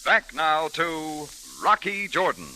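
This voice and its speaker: A man's voice: fairly high pitch, slow, pushed and bright, soft background music. A man announcing on vintage radio, reading sponsor spots and credits with polished, emphatic salesmanship. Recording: old radio broadcast recording.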